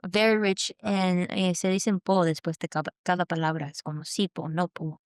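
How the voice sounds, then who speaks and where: A young woman from Southern California talks as a podcast guest, earnest and reflective, often pausing and restarting her thoughts. Her voice sits a little low and comes across smooth and resonant. The sound is dry and close to the mic. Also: no echo. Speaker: guest musician. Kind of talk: casual podcast interview.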